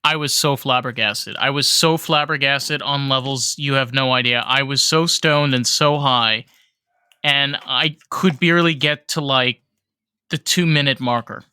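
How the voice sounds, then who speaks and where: A podcast co-host with a fairly deep voice, chatting casually and informally and swearing freely. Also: measured pace, pushed and bright, smooth, dry recording. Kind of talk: casual banter.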